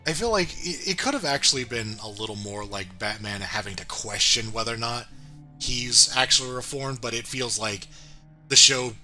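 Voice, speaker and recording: A man of average pitch with a strikingly bright, forward voice chats through a review. He sounds agreeable and thinks aloud haltingly as a co-host, over faint background music. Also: lively intonation. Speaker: co-host of a cartoon review show. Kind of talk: casual conversational review.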